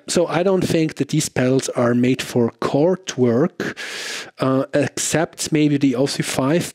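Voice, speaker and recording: A man's voice: medium pitch, a little mellow, no room sound. A man giving a casual product demo commentary as the presenter, thinking aloud informally and often checking for agreement. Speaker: presenter of a guitar pedal comparison.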